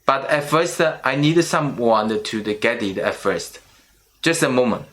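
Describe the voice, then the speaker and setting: Slow-speaking middle-aged man, Chinese accent. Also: mid-range voice, lively intonation, a bit bright. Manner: slow and careful, with restarts on small words. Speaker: channel host. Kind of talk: personal vlog monologue.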